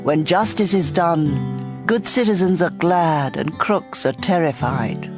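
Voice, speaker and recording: A narrator reads scripture for a dramatized audio Bible. The delivery is slow and measured, at a middling pitch. Instrumental music is clearly heard behind the voice. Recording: music bed under voice.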